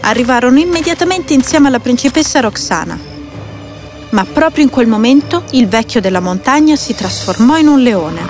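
A woman's voice: on the high side, expressive, moderate pace, a little mellow. A woman narrating a scripted fairy tale for children as a storyteller. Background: faint music.

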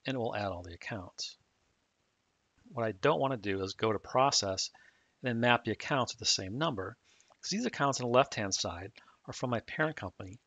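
A man calmly explaining software setup step by step in a screen-recorded tutorial. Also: mid-range voice, brisk, dry recording. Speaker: software trainer. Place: at a computer, voice-over on a screen recording. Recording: dull dry mic audio.

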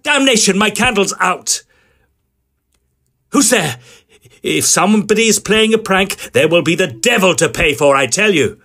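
A man playing an excitable Edwardian gentleman ghost hunter, telling his story in formal, theatrical audio drama style. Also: high voice, moderate pace, pauses often, exaggerated voice. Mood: agitated. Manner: formal, old-fashioned, dramatic phrasing. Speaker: narrator and lead character.

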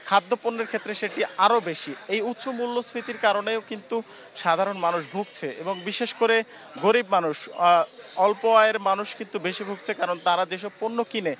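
A man's voice: sits high, a bit bright, slight background noise. A young man reporting live from an outdoor market into a handheld mic, explaining with frequent hesitations. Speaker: field reporter. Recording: faint outdoor market ambience.

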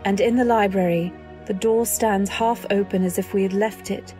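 An actress plays a refined young wife recalling her past in an old-time radio drama. The voice is measured, literary and wistful, medium in pitch, with faint music behind. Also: fairly even intonation, mellow, lightly acted.

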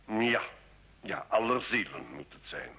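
Slow, deep, husky sly alchemist. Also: male voice, sing-song, heavily acted. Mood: sly, sardonic. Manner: slow, measured, deferential yet sardonic. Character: sly, learned medieval alchemist, courtly servant of Satan. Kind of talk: old Dutch radio drama acting. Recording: dull old radio drama recording.

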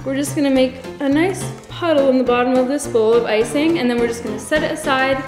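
A woman's voice: high-pitched, brisk. A young woman guiding a baking demonstration in a large home kitchen, explaining each step in an upbeat, instructive way. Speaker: guest baker. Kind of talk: cooking demonstration. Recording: echoey kitchen with background music.

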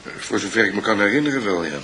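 A man's voice: lowish voice, lively intonation, racing, bright, forceful tone, a little hiss or hum. A man playing a courteous, inquisitive writer on holiday in a naturalistic radio drama. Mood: calm, hesitant.

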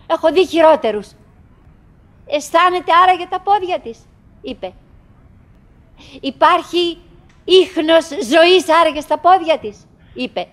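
An actress playing a wistful, chatty middle-aged woman in a radio drama monologue, halting and repeating herself theatrically. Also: high voice. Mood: mocking, agitated. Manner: halting, repetitive, dramatic shifts between musing and exclaiming.

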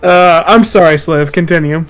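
A man's voice: a little high, normal speed. A man co-hosting a podcast in casual, joking chat, colloquial and sweary. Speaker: podcast co-host. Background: background music.

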